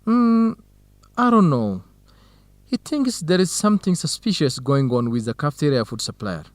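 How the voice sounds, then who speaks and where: An actor playing an earnest, modest young Ethiopian research partner and interpreter in an educational radio drama. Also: male voice, fairly high pitch, big pitch swings, moderate pace, dry recording. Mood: friendly, earnest.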